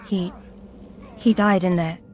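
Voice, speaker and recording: An actress voicing a timid, earnest young woman in a video game, speaking hesitantly, with halting restarts. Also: lowish voice, steady tone, a little mellow, slight background noise. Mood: pleading.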